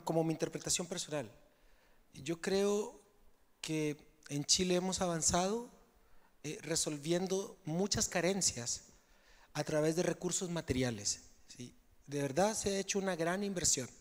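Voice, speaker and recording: A man with a Mexican accent speaks at a conference in a conversational, explanatory way, often checking in with the audience. His voice sits a little high for a man, and long reverb from the large hall trails after each phrase. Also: a little slow, pauses often. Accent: Mexican Spanish. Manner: conversational, tags phrases with "¿sí?" and fillers. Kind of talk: conference Q&A answer.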